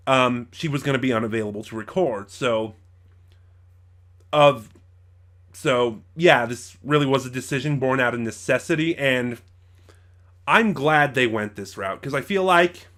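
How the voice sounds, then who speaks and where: A man presents an informal review as host of his own show. He talks conversationally and haltingly, often breaking off and rewording what he has just started to say. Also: mid-range voice, a bit bright. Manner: halting, conversational, circles back and rephrases. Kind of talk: informal review to camera or mic, loosely scripted.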